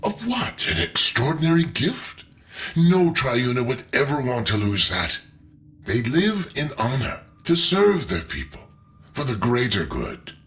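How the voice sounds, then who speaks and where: A man's voice: medium pitch, big pitch swings, a little slow, fairly bright tone. A man playing a shrewd, eloquent scholarly strategist in a video game, speaking in formal, theatrical phrases. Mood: solemn, reverent. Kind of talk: video game character acting.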